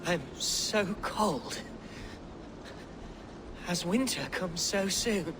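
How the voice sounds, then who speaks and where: An actor playing a dying young warrior, weak and afraid, speaking faintly and slowly. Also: male voice, high-pitched, expressive, background noise, heavily acted. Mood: fearful. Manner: faint, slow, fearful. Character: dying young Saxon warrior, frightened and cold.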